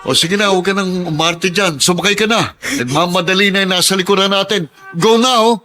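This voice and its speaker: An actor playing a kind-hearted, plain-spoken married driver in a radio drama. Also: male voice, high-pitched, brisk, sharp tone, soft background music. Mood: hurried, brusque. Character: kind-hearted working-class married driver, adult man.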